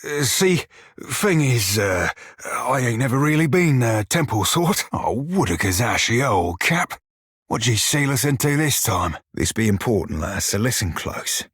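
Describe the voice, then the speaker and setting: A roguish sea dog from a fantasy video game, crude and teasing yet protective. His husky voice drawls sailor slang, taking its time and rising and dipping freely. The sound is dry and close. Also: mid-range voice, big pitch swings, a little slow, a bit bright, no room sound, exaggerated voice. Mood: salty banter, then gentle, earnest. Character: blue-skinned roguish pirate shiphunter, salty, crude, teasing, protective. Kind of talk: video game character dialogue and combat barks.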